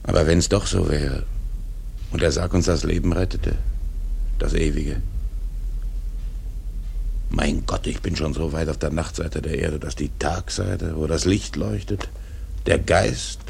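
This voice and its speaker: A man playing a brooding, obsessed old whaling captain in a German radio drama, with a gravelly, declamatory delivery. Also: a bass voice, measured pace, long pauses, a little mellow, a little hiss or hum, heavily acted. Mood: haunted, grave. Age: older. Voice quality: gravelly, rough.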